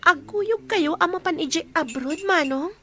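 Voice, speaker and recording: A woman's voice: very high-pitched, moderate pace, sharp tone. An actress playing a sweet, devout and respectful young woman with a Filipino accent in a radio drama. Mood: curious, concerned.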